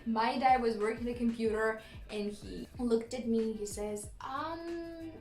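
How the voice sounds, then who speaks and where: A young woman with a Romanian accent, chatting in a storytime vlog, laughing often and filling pauses with ums. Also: a little high, darkish tone, roomy sound, soft background music. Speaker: YouTuber.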